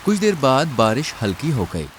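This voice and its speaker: A man's voice: mid-range voice, sing-song, moderate pace, slight background noise. A man narrating a scripted children's story.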